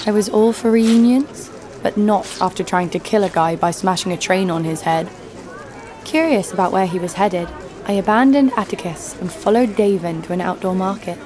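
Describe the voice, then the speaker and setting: A woman's voice: mid-range voice, natural voice. A woman playing a fearless, sardonic bounty hunter who tells her own story with dry, snarky delivery in an audio drama. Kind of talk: scripted first-person audio drama narration. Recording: music under the voice.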